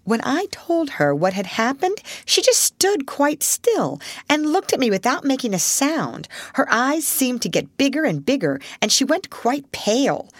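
A woman's voice: fairly high pitch, no echo, pitched above the performer's own voice. A woman voicing a timid, fussy sister who chatters in bewilderment.

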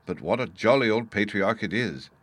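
A man's voice: fairly low pitch, animated, moderate pace, fairly bright tone, no room sound. A man voicing a dignified, good-natured young army officer in a storybook, courteous, amused and jovial.

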